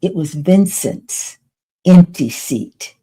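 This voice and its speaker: A woman in her eighties speaks as a podcast host sharing personal testimony. Her voice sits a little low and has a dark, relaxed tone. She goes extremely slowly, breaking her thoughts into short phrases with dramatic pauses. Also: breathy edge. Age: 80s. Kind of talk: personal testimony storytelling to listeners.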